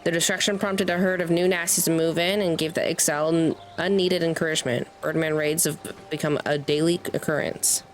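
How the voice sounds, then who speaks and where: A woman's voice: deep voice, steady tone, a little fast, few pauses, natural voice, low-quality recording. A woman voicing a chatty, folksy old male peddler from a fantasy game, reading the lines aloud with a rustic turn of phrase. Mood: informative. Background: game music.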